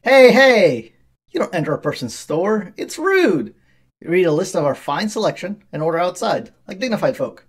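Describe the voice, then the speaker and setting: A woman doing a husky, low, brash male shopkeeper. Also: sing-song, moderate pace. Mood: indignant scolding. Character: gruff, brash, muscular male shopkeeper, breezily unbothered.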